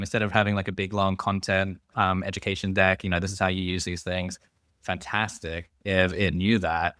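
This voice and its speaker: A man's voice: low-pitched, sharp tone, clear, resonant tone, no echo, clean studio sound. A man speaking as an expert panelist, thinking aloud as he explains in a conversational way.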